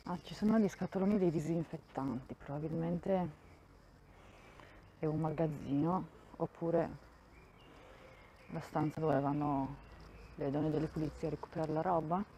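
Mellow-voiced woman rambling on a walk. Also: low voice, fairly even intonation, moderate pace, pauses often, a little hiss or hum, poor audio. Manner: rambling, thinking aloud, often restarts sentences. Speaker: vlogger touring a campsite. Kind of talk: casual walking tour commentary. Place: outdoors in a wooded campground, walking.

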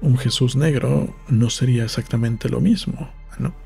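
A man's voice: mid-range voice, moderate pace, gravelly, mellow, husky, soft background music. A man reading literary narration from a script, as in an audiobook. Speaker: audiobook reader.

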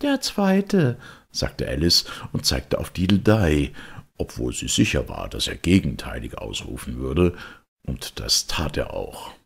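A man's voice: extremely low pitch, sing-song, a little slow, vocal fry, breathy edge. A man narrating a storybook audiobook expressively from a script.

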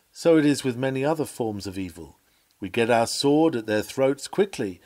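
A man reading an old sermon aloud in a measured, earnest, oratorical preaching delivery. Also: medium pitch, a little mellow. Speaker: reader of a historical sermon.

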